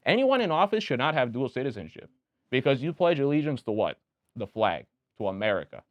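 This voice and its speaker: A man's voice: mid-range voice, big pitch swings, fairly quick, dry recording. A young man with a Romanian accent, a guest candidate talking opinionatedly in a casual interview, with many restarts. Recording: dull lo-fi sound.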